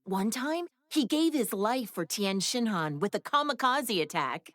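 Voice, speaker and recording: A woman's voice: a little high, expressive, a little slow, a bit bright, no room sound, studio quality. A woman playing a composed, knowing wife of a warrior in a video game, explaining things in a matter-of-fact, slightly dismissive way. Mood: explanatory. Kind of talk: scripted video game character banter.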